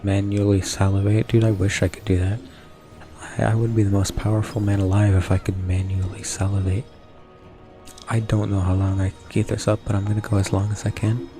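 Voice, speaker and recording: A young man streaming a video game, talking to his chat in a soft whisper with mouth noises, his throat sore from whispering. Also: fairly low pitch, little pitch movement, a little fast, music in the background. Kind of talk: casual gaming commentary, trying ASMR-style whispering.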